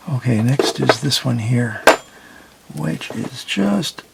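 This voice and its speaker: A man calmly explaining a repair step by step, with a noisy workbench recording. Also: mid-range voice, slow pace, gravelly. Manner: calm, step-by-step instructive. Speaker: repair video host. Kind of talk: narrated repair tutorial.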